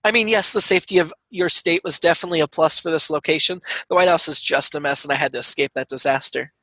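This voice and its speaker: A man as an eager, submissive doctor, bright-voiced. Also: a little high, fast talker, no echo. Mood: flustered. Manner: breathy gulping eagerness. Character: eager, submissive male doctor in a bawdy parody.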